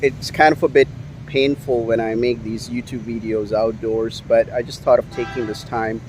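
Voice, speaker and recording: Guy from india rambling into his camera on the street, mid pitch, lots of ums, faint traffic behind. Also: flat delivery, moderate pace, darkish tone. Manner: rambling, many fillers, frustrated complaining. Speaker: YouTuber. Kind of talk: casual vlog monologue. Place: outdoors on a city street. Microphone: handheld camera.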